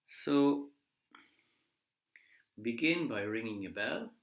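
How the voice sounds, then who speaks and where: A man leading a guided body scan meditation in a measured, gently instructive way, leaving pauses between phrases. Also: medium pitch, expressive, dull audio. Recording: echoey room.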